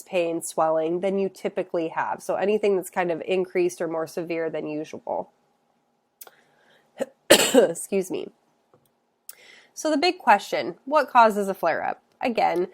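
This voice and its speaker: A woman who works as a functional nutritionist, congested from a cold, explaining health topics in a casual, explanatory way with many filler sounds. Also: lowish voice. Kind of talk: educational talk to camera.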